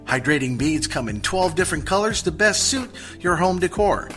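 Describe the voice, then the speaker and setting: A male commercial announcer with a fairly high, bright and forceful voice. He gives a chummy scripted sales pitch over faint music. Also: expressive, moderate pace. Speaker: advertisement announcer. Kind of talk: upbeat scripted radio commercial.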